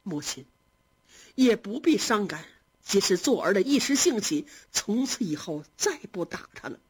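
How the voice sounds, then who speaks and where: A woman's voice: medium pitch, sing-song, normal speed, husky. A woman reading aloud and voicing a humble middle-aged man who pleads meekly with his mother. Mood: pleading. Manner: meek, pleading. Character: humble middle-aged father, apologetic son.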